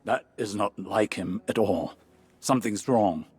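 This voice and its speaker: A man's voice: fairly low pitch, unhurried, a little mellow, slightly rough, soft background music. An actor playing a grave older male mystic in a sci-fi video game, formal, urgent and worried.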